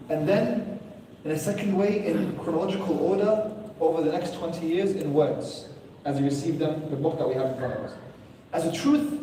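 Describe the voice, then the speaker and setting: A man's voice: on the high side, mellow, a little hiss or hum. A man giving a sermon to a room of listeners, earnest and full of rhetorical questions, now and then reciting Arabic verses. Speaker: preacher. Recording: echoey hall, distant mic.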